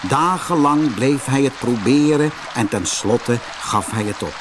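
A man's voice: mid-range voice, expressive, a little slow, background noise. A man reading a fairy tale aloud as a scripted audiobook narrator.

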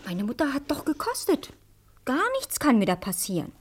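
Casting: spoiled young princess for radio fairy tale; very high, swooping, naive and exclamatory. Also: female voice, wildly swooping pitch, moderate pace, heavy background noise. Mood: carefree. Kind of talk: German radio play fairy-tale acting.

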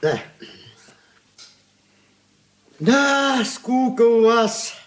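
An actor playing a brash, hard-drinking merchant's clerk, boastful, blunt and needling, in a Soviet radio play. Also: male voice, very high voice, expressive, takes it slowly, exaggerated voice. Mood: bored, needling.

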